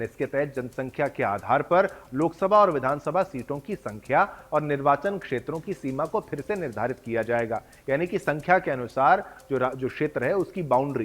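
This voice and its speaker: A man presents the news in a studio. His pitch sits in the middle of the male range. He reads in a combative, accusing tone and throws in rhetorical questions. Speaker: news anchor.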